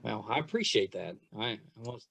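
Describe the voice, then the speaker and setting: A man's voice: lowish voice, lively intonation, normal speed, gravelly, fairly bright tone. A man hosting a casual interview podcast, chatting in an effusive, rambling, self-deprecating way. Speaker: podcast host.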